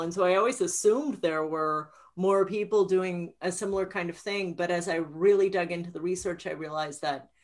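A woman in her forties speaks as a guest author at a book event. Her voice sits a little low and she answers in a conversational way. She is talking over a video call from a room at home. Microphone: video call computer mic.

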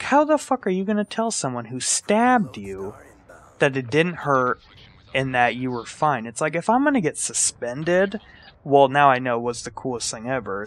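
A young man casually telling a personal story as a gaming commentary, acting out quotes and swearing freely. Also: mid-range voice. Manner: animated retelling with acted-out quotes and casual swearing. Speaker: storyteller and gaming video creator. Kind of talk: casual storytelling over gameplay.

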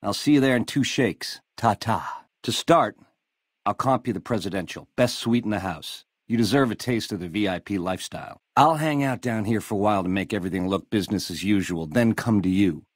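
An actor playing a slick, swaggering casino gangster, cocky and glib, in a video game full of 1950s lounge slang. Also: male voice, on the low side, brisk, dry recording, clean studio sound, lightly acted. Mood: coaxing, confident.

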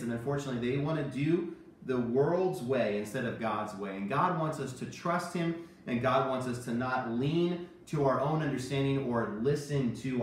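A man's voice: medium pitch, slight background noise. A man in his thirties giving children an emphatic, repetitive Bible lesson in a kitchen. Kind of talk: kids' sermon with a puppet sidekick. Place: home kitchen, indoors. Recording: very echoey room, distant microphone.

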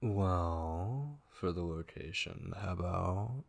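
Man acting husky, low-voiced tender boyfriend. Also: expressive, takes it slowly, exaggerated voice. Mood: casual, attentive. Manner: soft, hesitant, intimate, with murmured asides. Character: tender, devoted gamer boyfriend, young man, affectionate and reassuring. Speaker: ASMR voice actor. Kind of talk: boyfriend ASMR roleplay, soft intimate acting.

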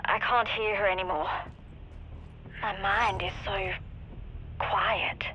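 A woman's voice: medium pitch, fairly even intonation, takes it slowly, low-quality recording. An actress playing a defiant, urgent psychic woman in a war team, in a dramatic video game. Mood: grave, resolute. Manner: earnest, urgent. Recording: loud gunfire and battle noise.

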